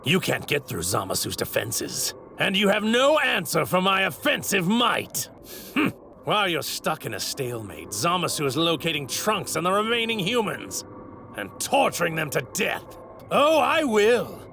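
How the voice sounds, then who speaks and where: An actor playing an arrogant, wrathful god in a stolen warrior's body, with haughty, theatrical, grandiose delivery in an anime dub. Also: male voice, on the high side, wildly swooping pitch, normal speed, pushed and bright, full character voice. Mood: gloating menace. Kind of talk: anime dub villain acting. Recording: clearly noisy audio ripped from anime.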